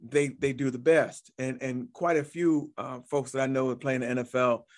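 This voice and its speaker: A man's voice: mid-range voice, fairly even intonation, moderate pace. A man hosting an interview, chatty and encouraging, often repeating words as he builds up his questions. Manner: chatty, many restarts and affirmations. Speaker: interview host. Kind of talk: casual interview talk.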